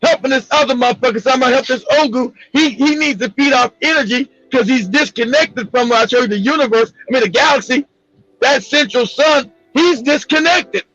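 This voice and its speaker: A man hosting a casual talk show, speaking in a profane, excitable way and laughing often. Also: extremely high pitch, a little fast, no room sound, highs cut off. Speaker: host.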